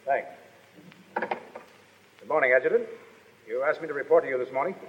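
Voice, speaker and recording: An actor playing a brash, cynical Foreign Legion soldier in a 1930s radio drama, with a blunt, slangy, insolent manner. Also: male voice, mid-range voice, moderate pace, a little hiss or hum, lightly acted. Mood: wry. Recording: muffled 1930s radio broadcast, hall reverb.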